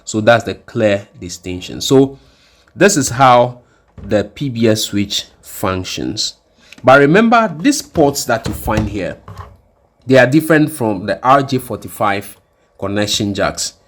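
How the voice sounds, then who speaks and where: A man presenting an instructional hardware demonstration in a deliberate, explanatory way, often rephrasing his points. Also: on the low side, animated. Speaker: tutorial presenter.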